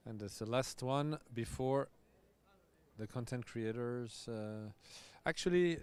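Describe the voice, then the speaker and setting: A man's voice: mid-range voice, darkish tone, clear, resonant tone. A man, a tennis coach hosting casual live commentary, chatty and loose with easy laughs. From France. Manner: chatty, loose, laughs often, restarts sentences.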